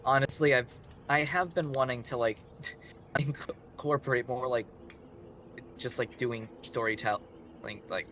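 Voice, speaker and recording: Bright-voiced man rambling on a stream. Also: medium pitch, slight background noise. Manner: halting, rambling, restarts sentences often. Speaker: streamer telling a fairy tale while gaming. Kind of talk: casual live-stream chat and improvised storytelling.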